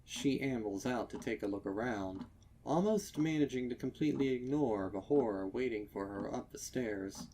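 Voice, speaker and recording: A man reading a scripted horror story as narrator, with deliberate, dramatic delivery. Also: medium pitch, dark tone, a little hiss or hum, rough recording. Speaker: narrator of fan fiction readings.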